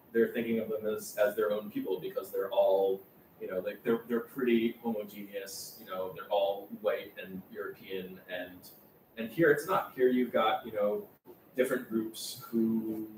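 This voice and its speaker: A man moderating a casual group discussion, restating others' points with halting repeats. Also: lowish voice, darkish tone. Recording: echoey room, distant mic, faint hum.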